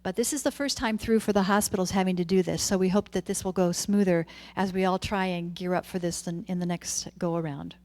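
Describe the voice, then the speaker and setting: A woman's voice: on the low side, fairly even intonation, a little fast, hardly any pauses, darkish tone. Lady giving a health update at a city committee meeting, casual, goes through her slides. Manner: informal, with fillers and restarts, walking through slides. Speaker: public health official presenting to a committee.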